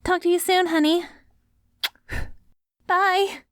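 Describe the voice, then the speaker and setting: A woman's voice: very high voice, little pitch movement, slow, no room sound. Woman acting a devoted, coaxing wife, close and dry. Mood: hopeful, fond. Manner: affectionate, coaxing, praising gently as if to a pet. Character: devoted wife caring for her ghoul husband after the apocalypse. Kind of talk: ASMR audio roleplay, addressing the listener.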